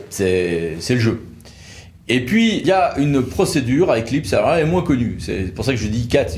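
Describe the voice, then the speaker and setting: Male legal expert, medium pitch, informal explainer discussion with colloquial turns of phrase. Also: a little mellow. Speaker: lawyer explaining procedures.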